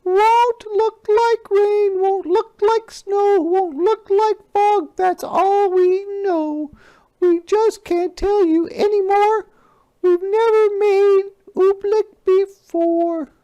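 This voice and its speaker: A weird old magician out of a storybook, played by a woman, chants in rhyme with eerie glee. The voice is pushed far up into a shrill, loud register and keeps a steady singsong lilt. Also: takes it slowly, muffled recording. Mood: ominous chanting. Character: chanting band of weird old male magicians, eerily gleeful.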